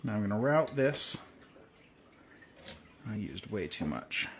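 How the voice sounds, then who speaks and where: A man's voice: fairly low pitch, slow pace, a little hiss or hum. Man thinking aloud at a workbench. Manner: relaxed, thinking aloud while working. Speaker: video host. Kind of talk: hands-on tutorial.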